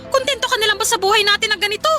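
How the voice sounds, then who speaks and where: A woman's voice: very high voice, very fast. A Filipino woman playing a poor, debt-ridden mother in a radio drama, coaxing and guilt-tripping as she presses her case. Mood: anxious, coaxing. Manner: coaxing, guilt-tripping, hesitant then insistent. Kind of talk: Tagalog radio drama acting. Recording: loud music under the voice.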